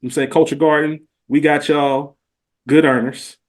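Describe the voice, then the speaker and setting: Earnest man talking on a podcast, slightly higher-pitched. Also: level tone, measured pace. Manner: earnest, probing, informal slangy speech. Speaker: podcast co-host. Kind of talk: casual heartfelt podcast chat.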